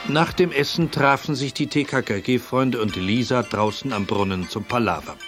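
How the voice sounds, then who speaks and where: A man's voice: medium pitch, moderate pace, fairly bright tone, soft background music, close to an everyday voice. A man narrating a German audio drama in a composed, scripted storytelling style.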